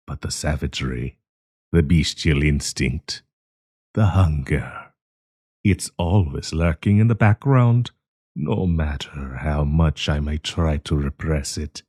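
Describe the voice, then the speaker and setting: Man voicing a very deep, husky predatory werewolf professor. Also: dark tone, dry recording, clean studio sound, full character voice. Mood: menacing, amused. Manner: slow, coaxing, menacing endearments. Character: sinister, possessive man-eating werewolf professor, tender and predatory. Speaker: voice actor in an audio roleplay. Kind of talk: intimate dramatic audio roleplay.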